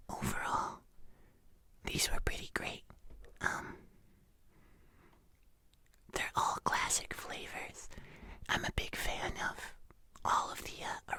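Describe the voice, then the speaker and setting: An ASMR host giving a casual food review in a breathy whisper, often pausing to correct themselves. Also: pushed and bright.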